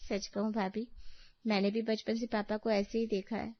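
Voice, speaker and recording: A woman playing a calm, supportive young sister-in-law in a family story, with an understanding, reassuring manner. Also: mid-range voice, normal speed, highs cut off, lightly acted. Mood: calm concern. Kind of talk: acted dialogue in a Hindi family story.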